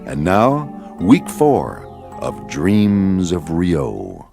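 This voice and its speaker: A man's voice: low-pitched, wildly swooping pitch, very slow, creaky, darkish tone, full character voice. A man narrating a radio adventure serial in a solemn, dramatic scripted style. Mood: measured, dramatic. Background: music.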